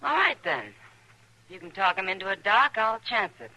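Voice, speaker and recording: Frontier saloon woman, sardonic, world-weary, very bright forceful tone, lively pitch swings, old radio western recording. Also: moderate pace, heavily acted, low-quality recording. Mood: wry, weary. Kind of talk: 1950s radio western acting.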